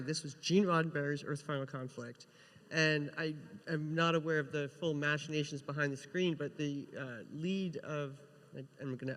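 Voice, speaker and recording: A man's voice: a little high, fairly bright tone. A man chatting casually on a panel as a TV writer, often rephrasing mid-sentence. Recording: reverberant hall.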